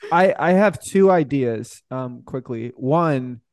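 A man's voice: medium pitch, animated, slow pace, a little mellow, no room sound, clean studio sound. A man co-hosting a podcast, chatting casually and jokingly. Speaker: podcast host.

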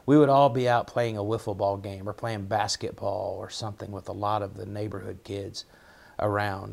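A middle-aged man with an Appalachian accent, telling personal stories as a guest in an interview, halting and reflective, often repeating words. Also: on the low side, gravelly, a little mellow. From southwest Virginia, United States. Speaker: pastor, podcast guest.

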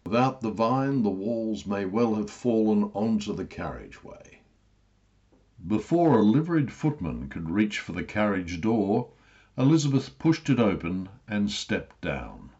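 A man's voice: fairly low pitch, very animated, unhurried, warm tone, lo-fi sound. A man reading a comic story as a scripted narration, in a wry, literary manner. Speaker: author reading own story.

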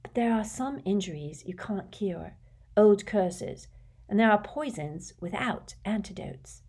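Storybook teenage girl, played by a woman: clever, earnest, worried, quick to give opinions. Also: medium pitch, expressive, a little mellow, breathy edge, pitched above the performer's own voice. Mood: anxious. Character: bright, anxious, opinionated teenage schoolgirl.

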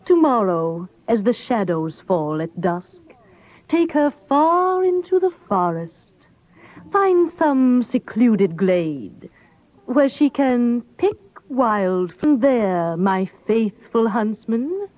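A woman's voice: fairly high pitch, very animated, slow pace. An actress playing a cold, imperious, murderous queen in dramatic 1930s radio style. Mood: cold, commanding. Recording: echoey, muffled 1930s radio recording.

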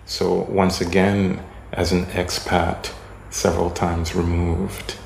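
Deep gravelly haitian-born guy, french first language, slow and halting, lots of ums. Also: level tone, darkish tone, a little hiss or hum. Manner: halting, many ums and pauses, searching for words. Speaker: interviewee. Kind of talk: reflective interview answers. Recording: echoey room.

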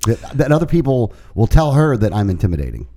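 A man co-hosting a talk show, telling stories in casual banter with lively energy. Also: medium pitch, quick pace. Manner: lively, animated storyteller. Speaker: co-host.

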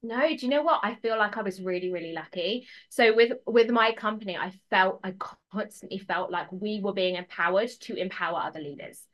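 A woman's voice: mid-range voice, a little fast, few pauses, highs cut off. A woman speaking as a podcast guest in a casual, conversational way, earnest and emphatic, repeating phrases for stress. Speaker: podcast guest, personal transformation mentor.

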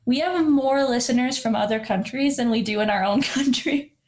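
A woman's voice: a little high, moderate pace. A young woman in her twenties with a nasal voice, co-hosting a podcast in casual chat, swearing and laughing often. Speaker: podcast co-host.

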